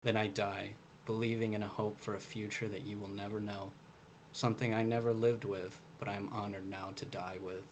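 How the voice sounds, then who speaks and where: Defiant old engineer in a novel, read by a man, solemn, low, even delivery. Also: fairly quick, slight background noise, lightly acted, poor audio. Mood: solemn defiance. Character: defiant old male engineer held captive. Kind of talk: novel reading.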